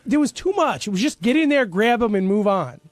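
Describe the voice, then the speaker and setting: Male, strikingly high voice, lively swings, blunt casual delivery, dry studio sound. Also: a little fast, no echo.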